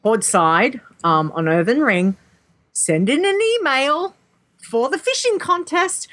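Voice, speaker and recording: A woman co-hosting a gaming podcast, calmly walking listeners through contest details. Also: high-pitched. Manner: unhurried, explains contest details step by step. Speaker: co-host. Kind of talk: casual podcast chat.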